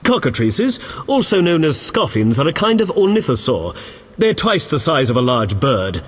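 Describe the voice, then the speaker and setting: An actor playing an earnest, pious knight in a video game, speaking in a formal, courteous and solemn manner. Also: male voice, on the high side, sing-song, moderate pace, breathy edge. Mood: courteous, eager. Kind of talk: video game character acting. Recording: long echoing reverb.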